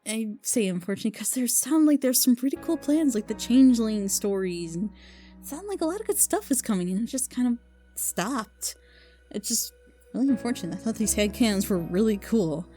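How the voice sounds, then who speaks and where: Female video host, higher voice, informal and enthusiastic recommendations, loosely delivered, faint music underneath. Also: animated, fairly quick, dark tone. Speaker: video host recommending fan audio dramas. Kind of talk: casual unscripted review.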